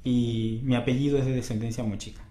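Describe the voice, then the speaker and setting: Hesitant man from Callao, mellow tone. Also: medium pitch, steady tone, fairly quick, roomy sound. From Callao, Peru. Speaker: street dancer. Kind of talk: documentary testimony.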